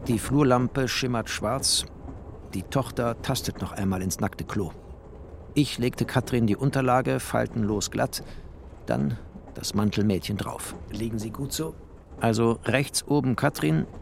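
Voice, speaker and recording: A bookish, weary refugee narrator in a literary audio drama. His mid-pitched, gravelly voice delivers terse, deliberate, expressive phrases over clearly audible ambient noise. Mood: terse, brooding. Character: bookish male first-person narrator, a weary refugee traveller. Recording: clear noisy ambience behind the voice.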